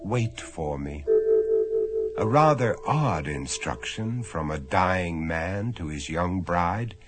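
An actor playing the droll, ominous narrator of a macabre drama. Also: male voice, deep voice, animated, slow. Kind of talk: scripted narration. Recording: loud music under the voice.

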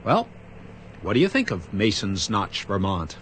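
An actor playing a doting, romantic small-town doctor in a scripted drama. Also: male voice, on the low side, huge pitch swings, a little slow, over music. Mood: playful, romantic.

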